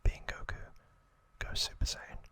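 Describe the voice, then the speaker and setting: A man hosts an ASMR recap in a steady whisper. He goes very slowly, phrase by phrase, leaving pauses between them. The whispered sound is bright and sharp. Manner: hushed, deliberate, short phrases with pauses. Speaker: ASMR host.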